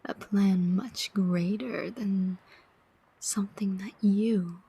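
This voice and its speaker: Casting: woman to play female demon soul collector for ASMR roleplay. Very breathy whisper, extremely unhurried, sardonic, weary, teasing. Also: medium pitch, fairly even intonation, dark tone, exaggerated voice. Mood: challenging, bitter. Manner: slow, deliberate, teasing, pausing often.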